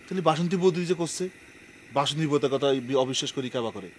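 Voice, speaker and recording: A man's voice: fairly high pitch, quick pace, a little mellow, a little hiss or hum, close to an everyday voice. An actor playing a nosy, grumbling young village man in a comedy drama, needling. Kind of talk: Bengali TV comedy drama acting.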